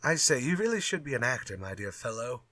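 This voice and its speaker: An actor playing a glib, unafraid writer in a retro radio drama, with flippant, old-fashioned phrasing. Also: male voice, mid-range voice, big pitch swings, fast, bright, forceful tone, no echo, clean studio sound. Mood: amused defiance. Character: glib, unafraid male writer sparring with his villain.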